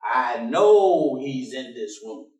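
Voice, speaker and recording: An actor in a staged play reading, playing a warm, stubborn, devout working-class man with a folksy, teasing manner. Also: medium pitch, big pitch swings. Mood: stubborn, sly. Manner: folksy, teasing, with chuckles and drawn-out phrases. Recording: roomy echoing stage, distant microphone.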